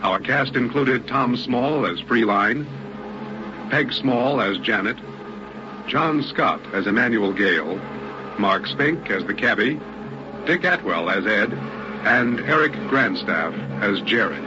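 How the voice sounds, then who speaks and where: A man announcing on the radio in a formal, deliberate, scripted broadcast style. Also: mid-range voice, lively intonation. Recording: muffled, faintly hissy radio recording.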